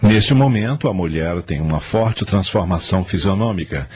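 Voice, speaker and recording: Deep-voiced male radio drama narrator. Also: animated, normal speed, a little mellow, a little hiss or hum. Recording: radio drama with music underneath.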